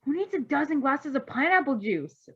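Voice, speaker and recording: A woman's voice: sits high, big pitch swings, moderate pace, a bit bright, dull audio, voice pushed up, exaggerated voice. A woman voicing a scolding, long-suffering male vigilante who grumbles in exasperation. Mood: exasperated. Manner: exasperated, muttering.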